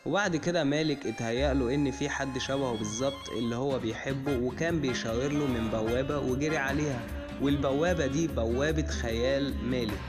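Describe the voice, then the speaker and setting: A man with an Egyptian Arabic accent retelling a cartoon story for children, in a plain, steady way that chains one event to the next. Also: medium pitch, fast talker. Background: music.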